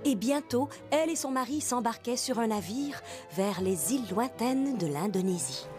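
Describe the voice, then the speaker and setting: An actress playing the storybook narrator of a cartoon, telling the tale in a measured, scripted way. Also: female voice, fairly high pitch, animated, natural voice. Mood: earnest storytelling. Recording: loud music behind the voice.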